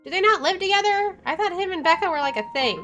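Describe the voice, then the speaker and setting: A woman's voice: extremely high pitch, expressive, fast talker, a bit bright. A woman doing a let's play, reading game narration aloud and reacting expressively, with game music behind her.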